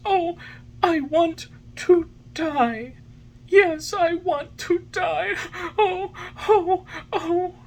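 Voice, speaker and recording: A woman's voice: extremely high pitch, sharp tone, pitched above the performer's own voice, heavily acted, poor audio. A woman voicing a childlike, emotional little wooden puppet boy in a storybook, with a plaintive, exclamatory delivery.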